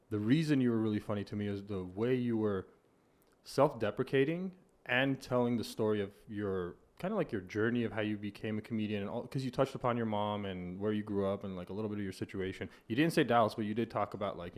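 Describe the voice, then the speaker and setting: Man, thirties, podcast host, low voice, thoughtful, rambling, studio mic. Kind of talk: casual interview chat. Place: home studio with hexagon wall panels. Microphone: boom-mounted studio mic with headphones.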